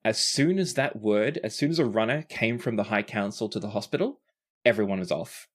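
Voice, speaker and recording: A game master runs a tabletop story in a mid-pitched voice. The delivery is conversational storytelling, describing the scene and briefly voicing the characters along the way. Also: expressive, fairly quick.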